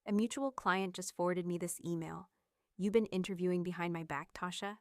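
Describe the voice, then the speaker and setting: Woman voicing a sharp, accusing male boss. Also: on the low side, fairly even intonation, brisk, dry recording, studio quality, close to an everyday voice.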